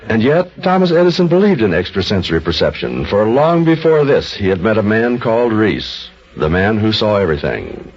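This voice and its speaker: A man's voice: on the low side, big pitch swings, normal speed, few pauses, darkish tone, husky. A man narrating scripted material as an old-time radio storyteller. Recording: dull old radio recording.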